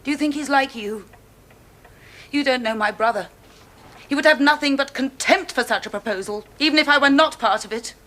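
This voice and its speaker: A woman's voice: high-pitched, a little fast, bright, forceful tone. An actress playing a proud young woman who answers a suitor with cold contempt in a radio drama. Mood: indignant. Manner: cold contempt, clipped and cutting.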